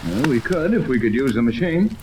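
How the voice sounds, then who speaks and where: An actor playing a skeptical, take-charge yacht captain in a 1930s radio serial, blunt and commanding. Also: male voice, medium pitch, big pitch swings, brisk, a little mellow, natural voice. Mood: resigned. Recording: noisy old 1930s radio recording.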